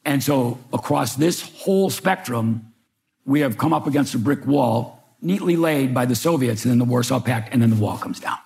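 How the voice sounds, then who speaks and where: An older man from Chicago, a former agent, telling his own story in wry, halting fashion at a public talk. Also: medium pitch, expressive, creaky, a little mellow. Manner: wry storytelling with hesitant restarts. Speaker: panel speaker, former FBI agent. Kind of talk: public talk, personal recollection. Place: museum event room.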